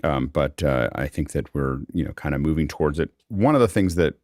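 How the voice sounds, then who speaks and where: Really low creaky guy hosting a casual panel, close dry mic, keeps restarting his sentences. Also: lively intonation, a little mellow, no echo. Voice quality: gravelly, creaky. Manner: halting, repeats words and restarts mid-sentence. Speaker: host of an online panel discussion. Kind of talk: casual, off-the-cuff moderating. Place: online video call, close dry sound.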